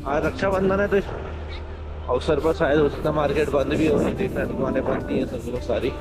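A man's voice: a little high, fairly even intonation, a little fast, very noisy. A man giving casual travel vlog commentary, describing his surroundings to viewers as he rides. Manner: narrates surroundings while riding, addressing viewers. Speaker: travel motovlogger. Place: outdoors on a motorcycle on mountain roads. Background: music and vehicle sound.

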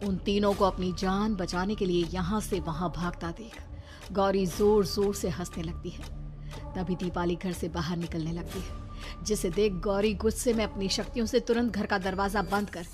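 A woman's voice: medium pitch, steady tone, normal speed, music in the background. A woman narrating a scripted horror story.

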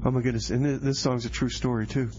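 A man's voice: mid-range voice, little pitch movement, fast talker, muffled recording, close to an everyday voice. A man playing a flustered slacker music-show DJ who rambles and repeats himself in radio comedy banter. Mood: upbeat. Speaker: radio DJ host.

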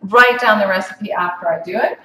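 A woman's voice: mid-range voice, moderate pace, bright, forceful tone, slight background noise. A woman giving a casual live cooking demonstration, chatty and full of ums and restarts. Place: home office kitchen. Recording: echoey room, from far off.

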